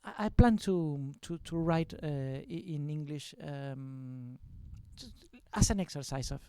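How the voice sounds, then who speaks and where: Guy, talks slow and halting, lots of ums, dry close sound. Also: on the high side, mellow, smooth, no echo. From Argentina. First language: Spanish. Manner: hesitant, many fillers and restarts. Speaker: musician being interviewed. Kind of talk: casual interview chat. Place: record store at a release party.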